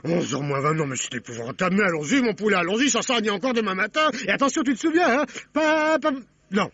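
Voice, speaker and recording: Radio drama: flamboyant, fussy director, bright and high, exclaiming and coaxing, dry muffled archive sound. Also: male voice, animated, quick pace, exaggerated voice. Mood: cajoling. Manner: theatrical, exclamatory, cajoling, sings trumpet cues. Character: fussy, excitable theatrical director, gushing with pet names. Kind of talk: French radio play acting. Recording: dull band-limited old radio recording.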